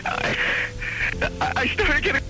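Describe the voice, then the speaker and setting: A man calling in to a late-night radio show over the phone, stammering, hurried and fearful. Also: music in the background, rough recording. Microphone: telephone line.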